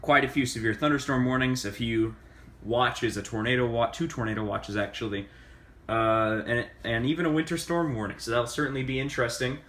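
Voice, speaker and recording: Halting man with a bright, forward voice. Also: medium pitch, fairly even intonation. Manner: halting, self-correcting delivery. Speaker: host of a hobbyist demonstration video. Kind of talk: casual unscripted how-to demonstration.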